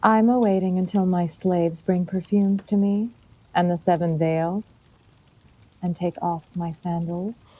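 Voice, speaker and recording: A woman playing a cool, self-possessed young princess in an audio drama, speaking in a measured, formal way. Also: fairly low pitch, fairly even intonation, mellow, low-quality recording. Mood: cool, resolved. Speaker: actor. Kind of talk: restrained audio drama acting.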